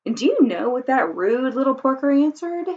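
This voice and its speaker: Casting: folksy wronged wolf for a picture book woman reader, high-pitched, chatty and excuse-making, muffled recording. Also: very animated, normal speed, darkish tone. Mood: indignant. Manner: conversational, self-justifying, mock-innocent. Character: aggrieved, folksy male wolf telling his side. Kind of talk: children's storybook read-aloud. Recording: dull lo-fi recording.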